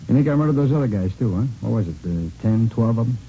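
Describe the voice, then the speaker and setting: An actor playing a talkative, cocky murder suspect in a vintage radio crime drama, casual and rambling, with repeats and restarts. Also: male voice, medium pitch, expressive, fast talker, dark tone, soft background music, natural voice. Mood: needling, guarded. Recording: muffled, noisy vintage radio recording.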